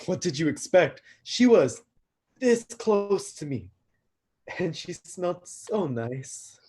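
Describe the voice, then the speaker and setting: Guy acting shy mopey young god, husky voice, hesitant, stage play reading. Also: sits high, very animated, slow, darkish tone, full character voice. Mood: flustered. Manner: hesitant, self-conscious. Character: awkward, downcast young male god of destruction.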